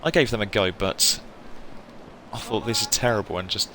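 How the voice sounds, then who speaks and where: A man's voice: fairly low pitch, normal speed, pushed and bright, a little hiss or hum. A British man chatting casually to viewers on a live stream, rambling and hesitant, often trailing off. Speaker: hobby game streamer. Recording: music playing behind the voice. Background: music.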